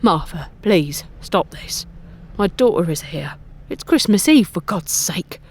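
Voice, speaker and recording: A woman's voice: fairly low pitch, animated, a little slow, slight background noise. A woman voicing an uneasy husband and father, pleading and exasperated, in an audio drama.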